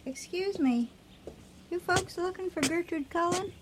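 A woman's voice: very high voice, unhurried, heavy background noise. An actress playing a folksy, hesitant female neighbour in a radio drama. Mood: curious, matter-of-fact. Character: nosy, hesitant female neighbour bearing bad news.

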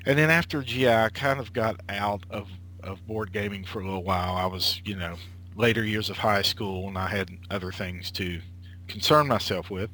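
Late 30s guy, kinda low voice, rambly and full of uhs, chatting on a voice call. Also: normal speed, sharp tone, a little hiss or hum, rough recording. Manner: rambling, lots of uh and restarts. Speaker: guest. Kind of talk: casual conversation. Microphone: internet call.

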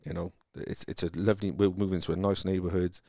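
Man, Welsh accent, very low voice, dry sound, halting restarts, casual chat. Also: little pitch movement, gravelly, warm tone, no echo. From Wales. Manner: rambling, halting, frequent restarts. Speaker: car salesman guest. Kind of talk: casual conversation.

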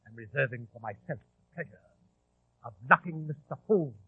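An actor playing a ruthless, commanding gang leader who barks orders in a rough voice, in 1940s radio drama. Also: male voice, medium pitch, animated, moderate pace, no echo. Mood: menacing, commanding. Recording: dry, muffled old radio broadcast.

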